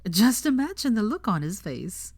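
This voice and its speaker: A woman voicing a bright, teasing, bossy young witch in a story reading. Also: medium pitch, big pitch swings, moderate pace, husky, voice pushed up. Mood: amused.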